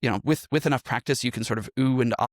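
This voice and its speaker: A man's voice: medium pitch, racing, dry recording, studio quality. A man speaking as a podcast interview guest, explaining his craft conversationally with examples. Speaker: interview guest, improv musical performer.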